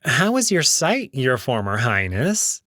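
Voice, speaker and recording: A man's voice: mid-range voice, huge pitch swings, measured pace, bright, forceful tone, no room sound, clean studio sound, full character voice. A man voicing a smug, suave young jackal prince in an audio drama, with airy, courtly, teasing politeness. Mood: smug, mocking.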